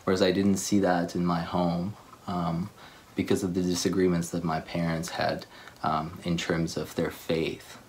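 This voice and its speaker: A young man with a Ukrainian accent and a very deep voice. He speaks haltingly, restarting phrases as he looks for words. He is recorded from a seated couch conversation in a living room, on a camera mic set back a little. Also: level tone, darkish tone, a little hiss or hum. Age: young adult. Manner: halting, repeats words while searching for them. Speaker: church speaker. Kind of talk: casual conversation about faith.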